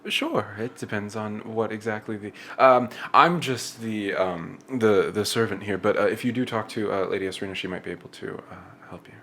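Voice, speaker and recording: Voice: mid-range voice, moderate pace, few pauses, a bit bright, slight background noise, natural voice. Player doing a nervous servant girl, stammers, hesitant.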